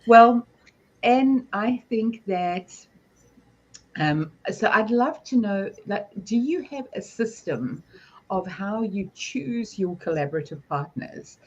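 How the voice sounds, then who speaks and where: A woman with a croaky, hoarse voice hosts a business livestream in a friendly, chatty way. She asks the questions and laughs often. The sound is dull and a little lo-fi, as over a video call. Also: medium pitch, lively intonation, takes it slowly, darkish tone. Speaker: host. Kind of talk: livestream hosting.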